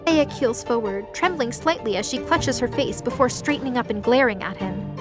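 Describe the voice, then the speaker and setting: A woman's voice: on the high side, expressive, moderate pace. A woman reading scripted third-person narration for an audio drama. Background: music under the voice.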